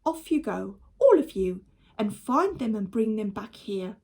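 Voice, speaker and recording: Determined father figure for a children's tale, read by a woman: firm, slow, emphatic, takes charge. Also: medium pitch, very animated, gravelly, a little mellow, breathy edge, heavily acted. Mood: bossy, urgent. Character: tiny father, practical, bossy, determined leader.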